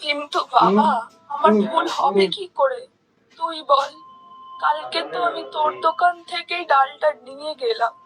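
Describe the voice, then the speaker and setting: A woman's voice: high voice, expressive, moderate pace, music in the background, rough recording. A woman playing an insistent, complaining old village auntie in a cartoon. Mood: aggrieved, insistent. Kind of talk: cartoon character acting.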